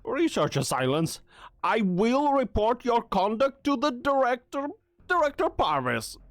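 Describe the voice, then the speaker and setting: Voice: medium pitch, very animated, unhurried, dry recording, clean studio sound. A performer voicing a stiff, officious subordinate officer in an amateur fan dub.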